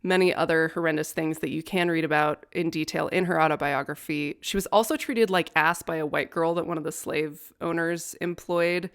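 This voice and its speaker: A low-pitched young woman co-hosts a chatty podcast. She tells history with dry, profane humor. Her voice sits deep for a woman and stays relaxed and conversational. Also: moderate pace, few pauses, clean tone. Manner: wry, profane, nerdy about law. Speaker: podcast co-host. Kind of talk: casual storytelling chat.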